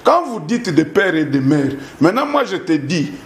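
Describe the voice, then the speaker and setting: High-voiced, insistent Congolese man arguing. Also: big pitch swings, normal speed, roomy sound. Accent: Congolese French. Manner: insistent, argumentative, repeats himself, asks rhetorical questions. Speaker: interview guest in a political debate. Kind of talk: heated political argument.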